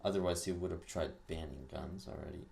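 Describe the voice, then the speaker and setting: A man's voice: low voice, fairly quick, creaky, a little mellow. A young man co-hosting a podcast in casual chat, laid-back, agreeing a lot and then pushing back. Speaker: podcast co-host.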